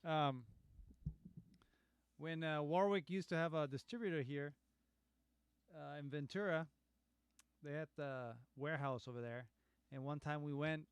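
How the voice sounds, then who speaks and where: A man, a bass player co-hosting a studio livestream, talking in an easygoing way with frequent pauses and restarts. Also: clean tone, no room sound. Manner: halting, many ums and restarts, easygoing. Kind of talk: casual livestream chat. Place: recording studio.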